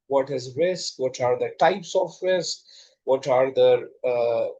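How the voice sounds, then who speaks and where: A man's voice: mid-range voice, slow, warm tone, dull audio. A middle-aged man with a Pakistani accent, giving a slide-based lecture that introduces his textbook, with frequent hesitant fillers.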